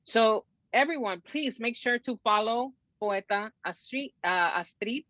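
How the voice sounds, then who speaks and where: A woman's voice: a little high, lively intonation, a little slow, a bit bright, dry recording. A woman with a Salvadoran accent hosts an online poetry reading over a video call. The audio is dry and a little dull and lo-fi. She talks casually, mixing Spanish phrases into her introduction. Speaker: event host. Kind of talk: introducing a performer.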